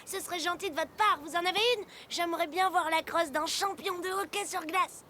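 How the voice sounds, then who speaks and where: A woman voicing an eager, curious, impatient little boy in a children's animated series. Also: extremely high pitch, fairly quick, a little hiss or hum. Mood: curious, admiring. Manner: excitable, inquisitive. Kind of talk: children's cartoon dubbing. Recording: music under the voice.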